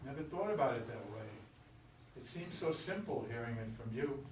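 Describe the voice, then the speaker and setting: A man's voice: medium pitch, expressive, moderate pace, darkish tone, close to an everyday voice. A man playing a nervous middle-aged baseball fan in an amateur staged play reading. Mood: earnest. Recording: echoey room, far from mic, background noise.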